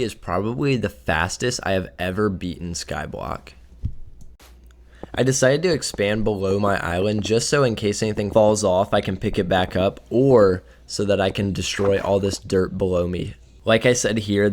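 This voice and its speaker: A young man with a slightly raspy voice from a cold, casually narrating his gameplay and sometimes tripping over his words. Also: lowish voice, moderate pace, darkish tone. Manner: casual, offhand, trips over words now and then. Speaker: gaming video creator.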